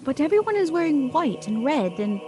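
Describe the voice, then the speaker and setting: A woman acting in a gothic radio drama as an innocent, curious young gentlewoman with a hesitant, polite way of speaking. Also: high-pitched, very animated, fairly quick, over music.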